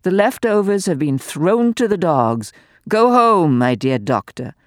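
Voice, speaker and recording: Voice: medium pitch, very animated, unhurried, no echo, studio quality, voice pushed up. A reader voicing a spiteful, venomous old aristocratic woman who sneers and gloats theatrically. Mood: sneering.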